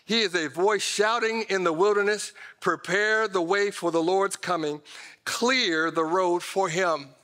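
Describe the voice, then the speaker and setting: A man's voice: high-pitched, steady tone, unhurried, pushed and bright. A middle-aged American man preaching a sermon in an emphatic, conversational way, speaking directly to his congregation in a reverberant church auditorium. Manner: emphatic, conversational, addresses listeners directly with folksy asides. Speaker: pastor. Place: large church auditorium stage, indoors. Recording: reverberant hall sound from stage.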